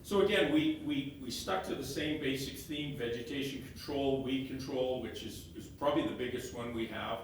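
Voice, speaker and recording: Loud man in echoey hall. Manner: halting, repeats words and restarts phrases. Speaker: elected county councillor. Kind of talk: talk presenting slides to a meeting. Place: meeting room, stepping back from a fixed mic. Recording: very echoey room, heard from a distance, faint rumble.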